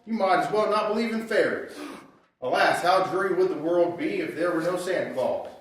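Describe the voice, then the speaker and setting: A man's voice: high-pitched, animated, normal speed, far from the mic. A man playing a bickering husband and preachy father in an old-time radio style Christmas sketch, with grandiose theatrical delivery. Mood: solemn, grandiose. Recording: very echoey room, off mic.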